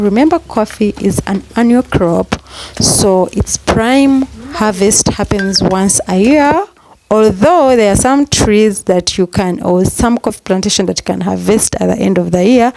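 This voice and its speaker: A woman's voice: medium pitch, background noise. Upbeat Ugandan woman vlogging outdoors. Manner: upbeat, repetitive, chatty. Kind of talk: casual vlog talk to camera. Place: outdoors in a rural coffee garden.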